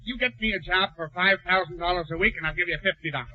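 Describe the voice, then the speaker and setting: A male actor playing an excitable, dim but suspicious client who haggles and protests in old-time radio comedy. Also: high voice, rapid-fire, dull audio, background noise, lightly acted. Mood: haggling, gullible.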